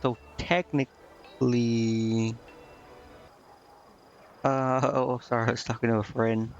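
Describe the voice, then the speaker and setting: A man's voice: mid-range voice, flat delivery, takes it slowly, slight background noise. A man with a mid-range voice talks casually through a video game playthrough. He rambles as he thinks aloud and stumbles when reading text out. The recording is a bit dull and lo-fi. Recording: dull, lo-fi microphone sound.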